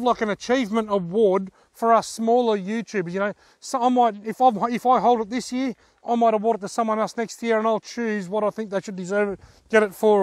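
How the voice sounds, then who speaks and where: High-pitched, enthusiastic Australian man. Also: no room sound. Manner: effusive, enthusiastic. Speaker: fishing vlogger, host. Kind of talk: casual vlog talk to camera. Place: outdoors on a dirt track in bushland.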